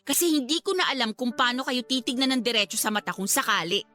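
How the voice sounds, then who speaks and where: A woman's voice: fairly high pitch, animated, fast talker, bright, forceful tone, soft background music. A woman acting an emotional student daughter in a Filipino radio drama, speaking with a Filipino accent. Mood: bitter, furious. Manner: emotional, cutting, polite address to parents. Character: hurt, angry student daughter confronting her cheating father.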